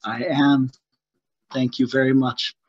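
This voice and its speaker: Husky man on dry, muffled call audio. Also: medium pitch, level tone, no room sound. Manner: formal, measured, grateful. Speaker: peacebuilding practitioner. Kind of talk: online panel remarks. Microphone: video call.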